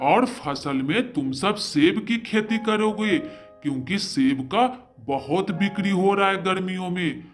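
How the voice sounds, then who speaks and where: Guy doing cartoon bird king, bossy but patient, kinda high voice, slow, music under it. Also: animated. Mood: declaring. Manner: declarative, patient, commanding. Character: authoritative, generous male bird king of the forest. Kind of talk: Hindi children's moral-story cartoon.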